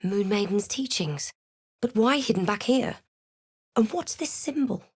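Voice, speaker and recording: A woman's voice: medium pitch, animated, a little slow, husky. A woman playing a wary, dry-witted adventurer in a fantasy video game, making clipped, guarded remarks to herself. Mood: puzzled, guarded. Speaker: player-character voice actor.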